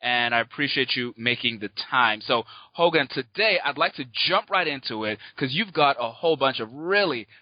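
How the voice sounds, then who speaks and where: A male podcast host with an upbeat, showy delivery, building up his guest like an announcer. His voice sits at a middle pitch and sounds dry and a little dull, as if the recording were lo-fi. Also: animated, moderate pace, hardly any pauses, no echo. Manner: upbeat, showman-like introductions. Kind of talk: enthusiastic podcast interview.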